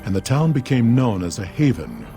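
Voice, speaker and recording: A man playing a dignified storyteller, narrating a video game epilogue in a measured, serious manner. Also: mid-range voice, huge pitch swings, over music. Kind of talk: scripted game ending narration.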